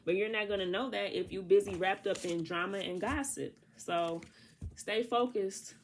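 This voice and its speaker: A woman's voice: medium pitch, normal speed. Congested woman w/ doing a chill tarot reading, slangy and chatty, faint hiss behind. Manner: chatty, slangy, talks straight to the viewer. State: congested from allergies. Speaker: tarot reader. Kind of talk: casual spoken tarot reading.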